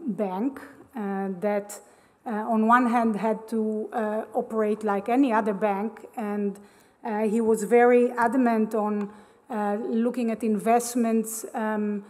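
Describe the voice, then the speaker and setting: A woman's voice: medium pitch, little pitch movement, takes it slowly, darkish tone. Hesitant woman speaking in a reverberant hall. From Israel. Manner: hesitant, many ums and uhs. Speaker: conference speaker. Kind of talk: conference talk. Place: large conference hall.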